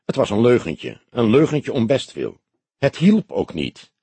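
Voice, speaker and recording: A man reads as an older detective with a very husky, rough voice. He speaks in measured, formal phrases, calm and sceptical, in a dry, close audiobook sound. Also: medium pitch, dry recording, full character voice. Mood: resigned. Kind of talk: audiobook character dialogue.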